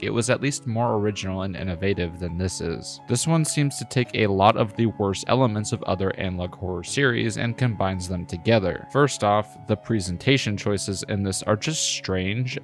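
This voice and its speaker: A man narrating a scripted video review in a critical, exasperated, emphatic manner. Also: lowish voice. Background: music.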